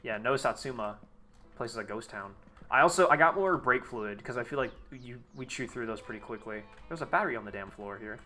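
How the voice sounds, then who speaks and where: A man's voice: mid-range voice, animated, brisk, bright, forceful tone, soft background music. A young American man streaming a game, chatting casually and rambling with lots of fillers and restarts. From United States. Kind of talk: casual chat while gaming.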